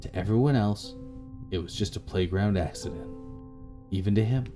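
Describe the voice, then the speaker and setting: A man's voice: lowish voice, moderate pace. A man reading a visual novel aloud as a let's player, narrating dramatically. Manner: dramatic, rereads stumbled lines. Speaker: let's play YouTuber. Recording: music playing under the voice. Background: game music.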